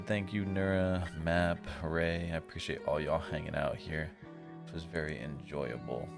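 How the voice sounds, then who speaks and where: A man's voice: rumbling low, steady tone, normal speed, creaky, over music. A laid-back, tired man streaming a visual novel, reading it aloud and adding casual, slangy commentary. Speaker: game streamer.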